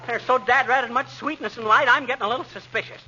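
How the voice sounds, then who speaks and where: An American actor playing a grumpy, folksy, blustering husband in an old radio comedy. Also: male voice, very high voice, fairly even intonation, fast talker, sharp tone, heavily acted. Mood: grumpy, suspicious. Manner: folksy, irritable, grumbling. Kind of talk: 1940s radio comedy acting. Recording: muffled old radio recording, hiss, studio audience.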